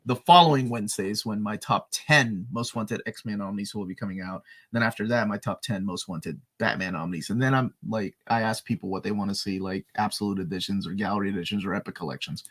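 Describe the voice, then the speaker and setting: A man's voice: on the low side, fairly quick, few pauses, husky. A man co-hosting a live comics talk stream, reading chat questions aloud and chatting casually. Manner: animated, reads chat questions aloud, laughs often. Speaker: co-host of a comics show.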